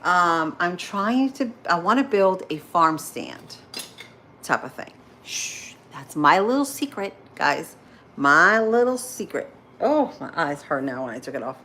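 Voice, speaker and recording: A woman's voice: lowish voice, very animated, unhurried, sharp tone. A woman in her thirties with a Spanish-influenced accent, hosting a casual morning livestream and chatting with viewers while she cooks. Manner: chatty, rambling, answers viewer comments as she goes. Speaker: livestream host.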